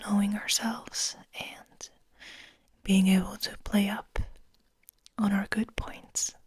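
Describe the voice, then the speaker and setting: Slow, whispering woman ASMR host. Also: medium pitch, dry recording. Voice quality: breathy whisper. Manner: soft, soothing, slow and unhurried.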